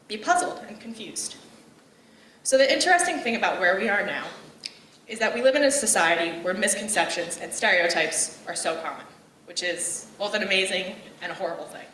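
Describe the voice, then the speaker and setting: Young woman giving a loud, outgoing talk. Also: mid-range voice, lively intonation, brisk, recorded from a distance, a little hiss or hum. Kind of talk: personal speech on gender roles. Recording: echoey room, voice from afar.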